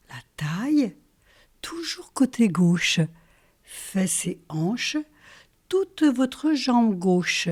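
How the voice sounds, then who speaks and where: A woman leading a guided yoga nidra relaxation as a teacher, calm and soothing, in short phrases with pauses. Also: mid-range voice. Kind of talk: guided relaxation meditation, instructional.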